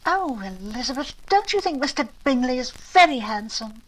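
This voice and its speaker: High-voiced dreamy young lady. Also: animated, normal speed, low-quality recording. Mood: dreamy, girlish. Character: sweet, dreamy, lovestruck young gentlewoman. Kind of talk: 1940s radio drama acting.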